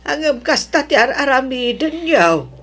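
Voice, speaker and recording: A woman with an Ilocano accent playing a protective older mother, fretting and gently scolding in a melodrama. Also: high-pitched, big pitch swings, unhurried, heavily acted. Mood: indignant, concerned. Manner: fretful, motherly, exhorting. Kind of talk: Ilocano radio-style melodrama acting.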